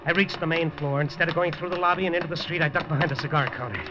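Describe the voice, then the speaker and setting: A man's voice: on the high side, rapid-fire, heavy background noise, lightly acted. A man playing a wisecracking noir reporter. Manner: dry, wisecracking. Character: wisecracking male newspaper reporter narrating. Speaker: narrator. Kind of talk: hardboiled noir narration. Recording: dull lo-fi 1950s radio broadcast with music.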